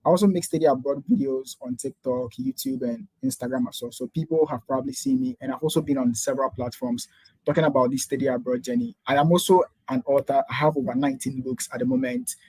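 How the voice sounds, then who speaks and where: A young Ghanaian man talking quickly and eagerly as an interview guest, laying out his story step by step. His voice is mellow and a little husky, and the recording is dry and close. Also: mid-range voice, expressive, dry recording, low-quality recording. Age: 20s. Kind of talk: interview answers.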